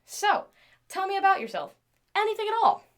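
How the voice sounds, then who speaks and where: A woman's voice: extremely high pitch, big pitch swings, brisk, a bit bright, heavily acted. A woman playing an obsessive, lonely scientist and tinkerer in an audio drama, chatty and theatrical with a volatile intensity. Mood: brittle cheerful chatty.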